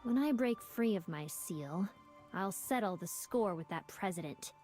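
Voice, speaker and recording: A woman's voice: mid-range voice, expressive, measured pace, a little mellow, soft background music, close to an everyday voice. A woman voicing a wry, unbothered Hypogean demon. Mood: resigned. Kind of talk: game voice acting.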